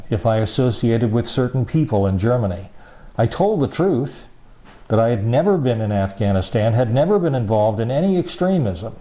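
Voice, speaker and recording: A man from the United States reading another person's first-person statement aloud, in a slow, grave, deliberate way. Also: mid-range voice, warm tone. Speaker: reader of a statement. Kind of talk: solemn measured reading.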